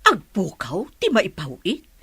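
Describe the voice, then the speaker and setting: An actress playing a concerned middle-aged mother with a husky voice in a radio drama. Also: mid-range voice, wildly swooping pitch, measured pace, pushed and bright, exaggerated voice. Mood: worried. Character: concerned mother questioning her daughter.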